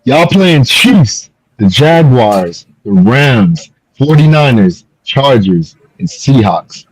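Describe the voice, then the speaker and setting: A man's voice: mid-range voice, very animated, takes it slowly, husky, no room sound, rough recording. Pushy man hosting a sports talk show. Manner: pushy, needling, repeats his points. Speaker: podcast host. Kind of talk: casual sports debate.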